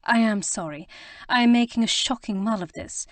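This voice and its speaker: A woman voicing a flustered, earnest young gentlewoman who stammers and rushes to explain herself. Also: a little high, dry recording, lightly acted. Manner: breathless, stammering, eager to explain. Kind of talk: audiobook character reading.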